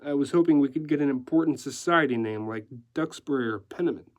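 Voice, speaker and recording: A man's voice: mid-range voice, fast, natural voice. A male reader voices a veteran newsman in a storybook mystery. He is unruffled and blunt, probing in a plain, direct way. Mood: casual.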